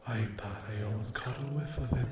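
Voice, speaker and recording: A man voicing a cruel, mocking sleep paralysis demon in a breathy ASMR roleplay, taunting and condescending in drawn-out phrases. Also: medium pitch, heavy background noise, natural voice. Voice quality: breathy whisper. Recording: roomy echo.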